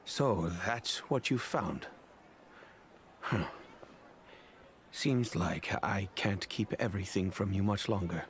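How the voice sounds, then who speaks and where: A man's voice: sits low, slow pace, pauses often, a little hiss or hum. A man playing a grave, fatherly middle-aged merchant in a video game, speaking formally and solemnly. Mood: reluctant.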